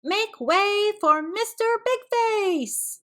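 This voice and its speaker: Storybook role: eager boy street showman, high and bright, calling out to folks, played by a woman. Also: animated, very slow, heavily acted. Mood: eager announcing. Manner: calling out like an announcer.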